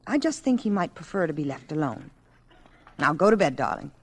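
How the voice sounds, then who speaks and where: An actress playing a polite, gently guarded mother in an old-time radio drama. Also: low voice, sing-song, brisk, husky, exaggerated voice. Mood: guarded, motherly.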